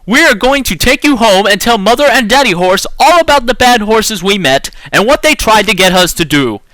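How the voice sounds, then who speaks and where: A man's voice: high-pitched, expressive, moderate pace, sharp tone, no echo, low-quality recording. A man voicing a prim young female horse in a storybook, earnestly moralizing at her sister. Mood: firm.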